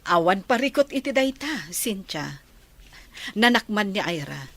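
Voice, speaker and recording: A woman's voice: medium pitch, very animated, unhurried, a bit bright, heavily acted. A woman playing a forgiving, grateful woman in an Ilocano radio drama, acting earnestly.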